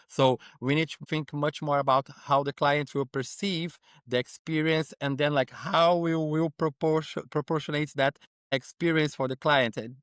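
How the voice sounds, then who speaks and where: A man's voice: on the high side, no echo, clean studio sound. A man from Brazil with a Brazilian accent, a native Portuguese speaker, giving conversational interview answers in non-native English and often checking in with "right?". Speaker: podcast guest, innovation consultant.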